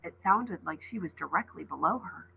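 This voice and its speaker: A woman's voice: on the low side, expressive, normal speed, gravelly, pushed and bright, slightly rough, low-quality recording. A woman teacher reading a storybook aloud expressively and dramatically, with asides to her listeners.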